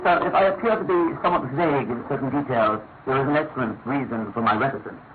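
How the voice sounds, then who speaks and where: An actor playing a genial, discreet British gentleman narrator in a classic radio detective drama, speaking in a measured, literary way. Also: medium pitch, lightly acted. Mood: measured, confiding. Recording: thin narrow-band old radio recording, faint noise.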